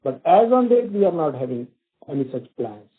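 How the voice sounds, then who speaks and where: A man answers analysts' questions on an earnings call in a fairly high voice. He halts often and restarts his sentences. The sound comes over a thin, tinny phone line. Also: big pitch swings, normal speed. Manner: hesitant, many fillers and restarts. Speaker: company executive answering analysts.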